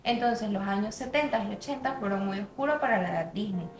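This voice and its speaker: A woman's voice: mid-range voice, fairly quick, roomy sound. A woman reading a scripted narration for a student video essay.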